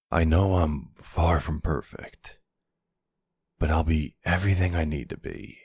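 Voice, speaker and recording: A suave, middle-aged mafia boss played by a man in an audio roleplay. The voice is deep and gravelly, close and dry, with a tender, reassuring way of soothing a loved one. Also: no room sound, full character voice. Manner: tender, soothing, smooth-talking. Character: suave older mafia boss, devoted husband and father. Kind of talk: intimate ASMR boyfriend roleplay acting.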